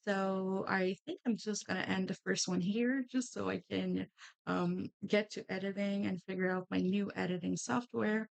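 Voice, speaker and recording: Quebec-French-accented woman, relaxed rambling. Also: mid-range voice, normal speed, gravelly, dry recording. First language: Canadian French. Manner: relaxed, rambling, many restarts and fillers. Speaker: crafting channel host. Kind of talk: casual chat while crafting.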